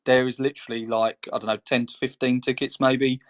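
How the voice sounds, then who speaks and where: A man's voice: medium pitch, level tone, fairly quick. Man, podcast co-host, casual chat, rambling, chatty, mid-range voice. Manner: rambling, chatty, laughs between phrases.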